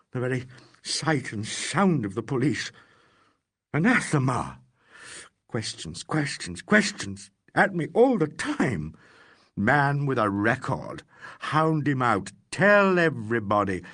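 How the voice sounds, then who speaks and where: A man reading an audiobook voices a pompous, hysterical disgraced financier who rants in a shrill, trembling voice. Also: big pitch swings, a little slow, fairly bright tone, slightly rough, no echo, studio quality, exaggerated voice. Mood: ranting. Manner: shrill, indignant, trembling, ranting.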